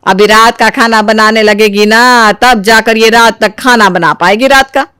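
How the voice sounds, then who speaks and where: A woman voicing a domineering middle-aged mother-in-law who scolds and complains, in an animated family moral story. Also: on the high side, unhurried, clear, resonant tone, no echo, clean studio sound. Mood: complaining.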